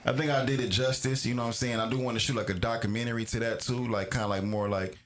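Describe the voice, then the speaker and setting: A man's voice: medium pitch, fairly even intonation, fast talker, a little hiss or hum. A man, co-host and rapper, talking casually in rambling, stop-start phrases. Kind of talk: casual chat.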